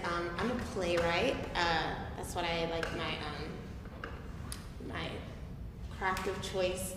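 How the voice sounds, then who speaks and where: A woman in her twenties answering in a panel discussion, speaking hesitantly and haltingly in a reverberant room. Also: medium pitch. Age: 20s. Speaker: playwright and youth ensemble member. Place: reverberant room at a live staged reading. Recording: echoey hall, noticeable background noise.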